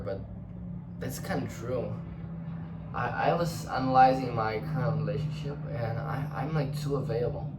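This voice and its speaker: A man's voice: medium pitch, far from the mic, background noise. Reflective man talking in a roomy space. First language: Portuguese. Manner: reflective, rambling, halting restarts, casual swearing. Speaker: vlogger. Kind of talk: unscripted personal monologue. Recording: roomy echo, voice set back from the mic.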